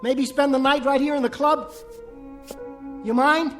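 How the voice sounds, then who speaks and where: Jittery, haunted ventriloquist. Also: male voice, very high voice, fairly even intonation, measured pace, fairly bright tone, soft background music. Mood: uneasy, wheedling. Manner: nervous, stammering, insistent. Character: jittery, haunted male ventriloquist pleading for company. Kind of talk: radio play acting.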